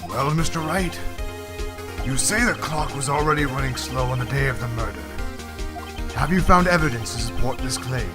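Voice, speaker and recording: A man's voice: mid-range voice, expressive, normal speed, lightly acted. A man playing a stern, dignified older judge, speaking formally and with authority in a video game fandub. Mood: formal, measured. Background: loud game music.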